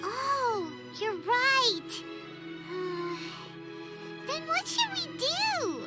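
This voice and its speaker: A woman voicing a tiny, childlike, naive fairy companion in a video game, squeaky and exclamatory. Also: big pitch swings, extremely slow, heavily acted. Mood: puzzled. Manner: speaks of herself in third person, exclamatory. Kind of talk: scripted video game cutscene acting. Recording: loud music behind the voice. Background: prominent background music.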